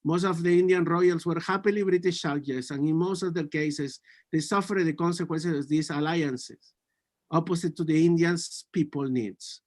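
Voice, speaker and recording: High-voiced man with a Peruvian Spanish accent. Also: steady tone, moderate pace, darkish tone. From Peru. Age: 40s. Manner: earnest, with frequent restarts and self-corrections. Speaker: conference speaker. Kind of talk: prepared academic talk.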